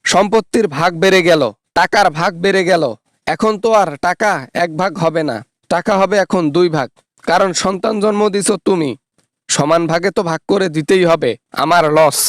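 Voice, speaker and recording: A greedy, sarcastic grown son, acted by a man in a political parody skit. His voice is very high for a man, recorded dry. He scolds and demands with open scorn. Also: normal speed, no echo, close to an everyday voice. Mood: aggrieved. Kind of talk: satirical phone-call parody skit.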